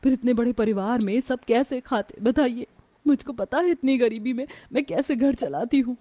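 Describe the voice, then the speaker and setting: A woman's voice: fairly high pitch, normal speed, warm tone, no echo, pitched above the performer's own voice, natural voice. A woman voicing a poor, dutiful young daughter-in-law in a melodramatic story, earnest and pleading. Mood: exasperated, upset. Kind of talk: melodramatic Hindi moral story acting.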